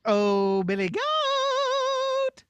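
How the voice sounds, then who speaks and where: Puppet-show princess: young, fussy and prim, high voice with swooping, lively pitch. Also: male voice, extremely slow, dry recording, dull audio, faint music behind, full character voice. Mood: cheery. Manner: exclaiming, sing-song calling, prim. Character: chatty, fussy, easily flustered young princess. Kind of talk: children's puppet show acting.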